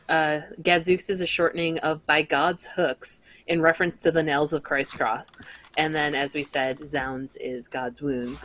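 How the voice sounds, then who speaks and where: A woman in casual chat, reading out research on old words in a measured, halting way. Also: low voice, fairly bright tone, a little hiss or hum, low-quality recording. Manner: explains word origins in a measured, halting way. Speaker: cast member of a livestreamed old-radio table read.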